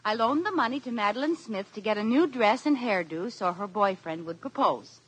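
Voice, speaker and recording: An actress playing a chatty, meddling young wife who plays matchmaker, in 1940s radio comedy style with a giggly, coaxing delivery. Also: female voice, medium pitch, lively intonation, fairly quick, husky. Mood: bubbly. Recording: noisy old radio broadcast.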